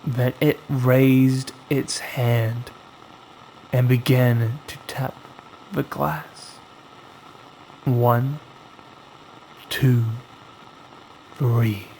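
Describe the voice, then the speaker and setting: A man narrating a scripted horror story as its storyteller, in a dramatic, suspenseful way with long pauses. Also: mid-range voice, fairly even intonation, a little mellow, a little hiss or hum, low-quality recording. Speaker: storyteller, host of a horror anthology.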